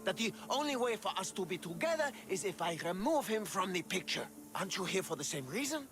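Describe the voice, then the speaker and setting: A lovesick, jealous man in a video game. He is haughty and quick to sneer, with a high voice that swings widely in pitch. Dramatic scorn colours his delivery, over clearly audible music. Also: big pitch swings. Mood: brusque. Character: jealous, lovesick young man giving mercenary orders. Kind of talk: video game dialogue acting.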